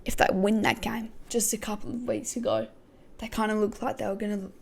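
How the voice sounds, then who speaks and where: A woman hosting a casual football podcast, her voice croaky, thinking aloud with many hesitations. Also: mid-range voice, fairly even intonation, brisk. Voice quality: gravelly. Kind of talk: casual pre-match football talk.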